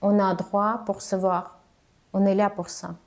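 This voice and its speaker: A woman coach giving spoken advice on confident speaking, halting and careful as she talks in a language that is not her first. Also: medium pitch, flat delivery, a little mellow. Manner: careful, halting delivery of a non-native speaker. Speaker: communication coach.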